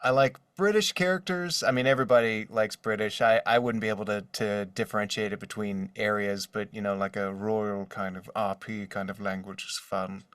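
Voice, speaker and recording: A man with a lowish voice talks casually as an interview guest. He has a joking manner, and he sounds set back from the microphone. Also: steady tone, moderate pace, hardly any pauses, a bit bright, smooth, clean studio sound. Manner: joking, slips into mock accents to demonstrate. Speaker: voice actor guest. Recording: roomy echo, distant from the mic.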